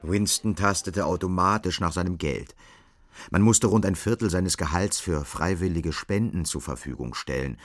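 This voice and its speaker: A male narrator with a low voice reads literary prose for a radio drama. His delivery is measured and matter-of-fact.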